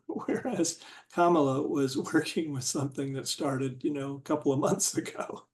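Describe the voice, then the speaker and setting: A man in a casual group discussion, talking haltingly and searching for words with many restarts. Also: a little high, darkish tone.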